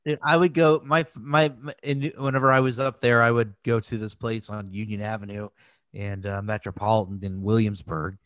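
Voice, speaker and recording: A man's voice: lowish voice, level tone, normal speed, a bit bright, no room sound. A man chatting casually on a podcast, telling stories in a rambling, loose way with some swearing. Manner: profane, rambling storytelling. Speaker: podcast host. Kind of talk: casual conversational podcast chat.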